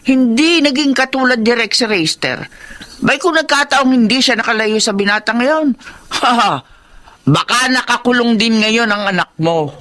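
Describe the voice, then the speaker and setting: A chatty older Filipina neighbor, nosy yet admiring. Her voice is bright and sharp, and her pitch swings freely in classic radio drama fashion. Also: lively intonation, normal speed. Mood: gossipy.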